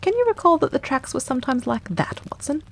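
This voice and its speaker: A woman voicing a brisk, confident male detective in an audiobook reading, quick and emphatic. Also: high voice, big pitch swings, voice pushed up. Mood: eager.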